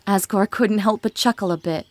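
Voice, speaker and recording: A woman's voice: mid-range voice, moderate pace, a little mellow, faint music behind. A woman reading scripted, dramatic storytelling narration.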